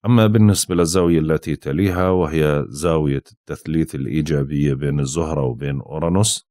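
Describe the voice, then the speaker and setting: A man giving a daily astrology forecast. He sounds tired and unwell, and he talks slowly and haltingly, with frequent drawn-out "uh" pauses. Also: very deep voice, dark tone, no echo, studio quality. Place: indoors, with possible street sounds outside.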